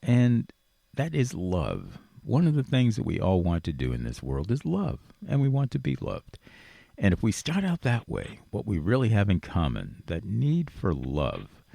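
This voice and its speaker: A man's voice: lowish voice, wildly swooping pitch, measured pace, vocal fry, mellow, slightly rough, no room sound. A man hosting a radio interview show, speaking conversationally and earnestly, with emphatic repetition. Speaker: radio talk show host. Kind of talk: conversational interview, rambling positive monologue.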